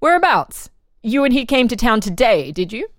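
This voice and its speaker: A woman's voice: a little high, sing-song, moderate pace, no echo, clean studio sound. Probing, methodical interrogator, read by a woman. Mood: probing, insistent. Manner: pressing, methodical questioning. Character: probing, insistent official examining a murder prisoner. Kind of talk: dramatic reading of an interrogation transcript.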